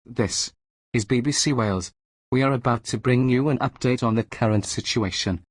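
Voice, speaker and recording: A man reading a formal emergency broadcast announcement in a measured, solemn manner. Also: medium pitch, breathy edge, no room sound.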